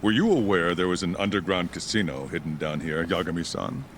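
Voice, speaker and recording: A deep, smug, scheming prosecutor. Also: male voice, expressive, slight background noise. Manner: formal, smug, deliberate. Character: smooth, scheming male chief prosecutor, smugly polite. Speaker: video game voice actor. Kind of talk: English video game cutscene acting.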